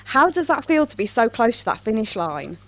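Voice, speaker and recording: Female podcast host, higher-pitched, chatty and wry, putting questions in an informal, playful way. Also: sing-song, brisk. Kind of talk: casual interview chat.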